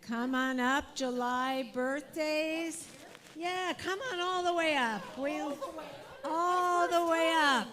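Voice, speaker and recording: A woman's voice: high-pitched, slow pace, fairly bright tone. A woman leading a congregation's Shabbat service, welcoming and upbeat as she calls people forward. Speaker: service leader. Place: synagogue sanctuary.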